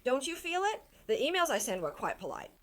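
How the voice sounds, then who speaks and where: A woman's voice: sits high, sing-song, brisk, fairly bright tone. A woman hosting a finance talk show, chatting in a teasing, conversational way. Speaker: host.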